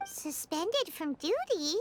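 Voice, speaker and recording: Squeaky, tiny fairy companion. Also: female voice, sing-song, slow, a little hiss or hum, full character voice. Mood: curious. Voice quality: squeaky, childlike. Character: tiny childlike floating fairy companion, curious and excitable. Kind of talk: video game voice acting.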